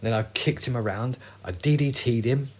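A man's voice: mid-range voice, normal speed, lightly acted. A man playing a boastful scrawny guy in an audio drama comedy.

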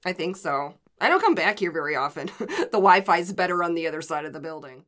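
A woman's voice: on the low side, lively intonation, brisk, fairly bright tone. An actress playing a casual, joking young woman in a naturalistic audio drama. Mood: offhand, joking.

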